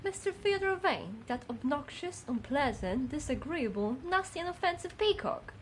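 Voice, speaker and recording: A woman acts out a fussy older housekeeper from a video game. She is high-pitched, judgmental and gossipy, speaking with haughty primness. There is clear noise and ambience behind her. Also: very animated, moderate pace, poor audio. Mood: disapproving, suspicious. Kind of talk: scripted game character dialogue.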